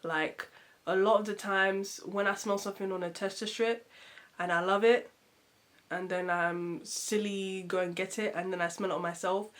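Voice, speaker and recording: A woman reviewing perfumes in a casual vlog. She sounds nasal and muffled from a cold, and she talks in a chatty, expressive way with lots of fillers. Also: mid-range voice, moderate pace. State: congested from a cold.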